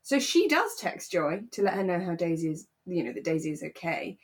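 A woman co-hosting a podcast, chatting casually and telling stories with animated asides. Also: lowish voice, fairly quick. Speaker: podcast co-host. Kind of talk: relaxed casual chat recapping a radio drama.

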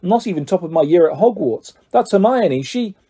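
A man's voice: high-pitched, animated, fairly quick, slightly rough. Man as nervous, polite young schoolboy wizard. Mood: earnest, urgent. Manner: hesitant, hushed urgency.

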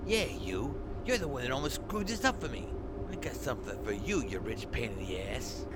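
An actor playing a treacherous, cynical war veteran turned crook in a 1930s crime thriller audio drama, with a sneering gangster way of talking. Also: male voice, medium pitch, sing-song, moderate pace, very noisy, close to an everyday voice. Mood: menacing. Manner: sneering, gloating gangster talk.

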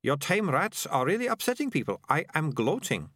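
A bossy, self-assured young girl in a storybook, voiced by a male narrator. The voice is raised high and leaps about with dramatic insistence, recorded dry and close. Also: very animated, moderate pace, fairly bright tone, no room sound.

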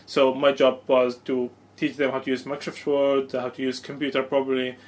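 A man's voice: medium pitch, completely flat delivery, moderate pace. Young guy, charity volunteer, lots of ums and likes. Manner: lots of fillers, searching for words. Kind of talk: interview.

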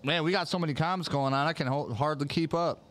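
A young man with an ordinary mid-range voice hosts a relaxed chat. He talks easily and swears offhandedly, often trailing off with an "um" as he keeps up with viewers. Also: fairly high pitch, fast. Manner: laid-back, swears casually, trails off with "um". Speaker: podcast host reading live comments. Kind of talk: casual chat.

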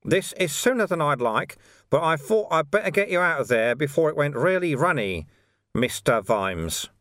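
A man doing a higher-pitched, wily old monk. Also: big pitch swings, moderate pace, fairly bright tone, no room sound, clean studio sound. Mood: cheerful, matter-of-fact. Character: cheery, wily, skinny old bald monk posing as a sweeper.

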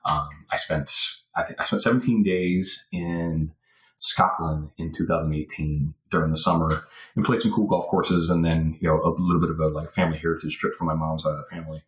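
Deep-voiced guy, rambly, lots of ums and restarts, kinda echoey room. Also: steady tone, a little fast, fairly bright tone. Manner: rambling, many fillers and restarts. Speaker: podcast guest. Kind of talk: casual interview talk.

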